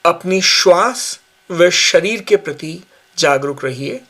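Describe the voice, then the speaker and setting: A man guiding a yoga nidra relaxation session with slow, calm, measured instructions. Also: high voice. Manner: slow, calm, measured guidance with long pauses. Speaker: yoga teacher.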